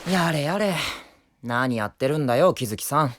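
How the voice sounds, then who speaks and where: A woman's voice: extremely low pitch, animated, moderate pace, slight background noise. A woman playing a wry, exasperated young male rookie lawyer, casual and grumbling, in a Japanese audio drama.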